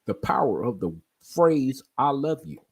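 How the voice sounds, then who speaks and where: A man's voice: mid-range voice, sing-song, a little slow, gravelly, breathy edge. A man in his forties telling stories in casual chat, often asking "you know what I mean?". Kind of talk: casual chat on a live stream.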